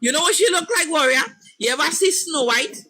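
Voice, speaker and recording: A woman's voice: high-pitched, lively intonation, brisk, bright, forceful tone. A woman, a guest on a live stream, talking bluntly and teasing the host. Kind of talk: casual live stream chat.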